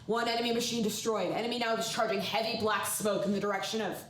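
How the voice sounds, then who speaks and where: A woman's voice: medium pitch, steady tone, quick pace, a bit bright, natural voice. A woman playing an urgent, formal military radio operator in a 1930s-style radio drama, with clipped dispatches. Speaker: actor. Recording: distant echoey hall, faint noise.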